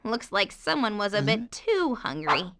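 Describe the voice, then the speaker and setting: A woman playing a perky, friendly young female faun who narrates video game cutscenes in an expressive storybook way. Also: medium pitch, a little slow. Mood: wry, chatty. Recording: game music under the voice.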